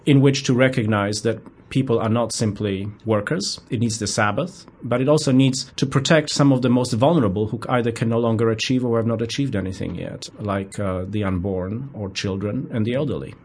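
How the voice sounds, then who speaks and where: A man with a Polish accent answers questions in an academic interview. His voice sits low and carries a husky grain. He talks in measured, thoughtful phrases and sometimes restarts a word as he finds the right one. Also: a little mellow. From Poland. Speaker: guest professor.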